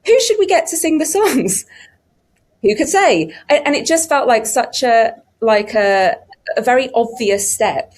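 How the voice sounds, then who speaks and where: A woman's voice: on the high side, animated, measured pace. Enthusiastic woman speaking on a panel. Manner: effusive, emphatic, conversational. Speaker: audiobook production team member on a panel. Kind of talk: enthusiastic panel interview talk.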